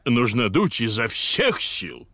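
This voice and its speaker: A man's voice: medium pitch, wildly swooping pitch, slow, gravelly, pushed and bright, no room sound, full character voice. A man voicing a big, jolly, boastful father pig in a children's cartoon dub, hearty and self-satisfied. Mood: puzzled, boastful.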